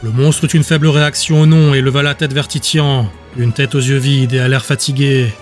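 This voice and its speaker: A man's voice: mid-range voice, flat delivery. Man narrating a fantasy audiobook over music. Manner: measured, descriptive storytelling. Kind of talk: scripted fiction narration. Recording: music under the voice.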